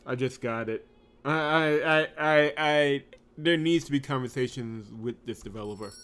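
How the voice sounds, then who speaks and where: Male let's-play host, medium pitch, teasing asides between read-aloud narration, faint music behind. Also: normal speed, fairly bright tone, smooth. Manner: wry, teasing, mock-indignant. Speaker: game streamer. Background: game music.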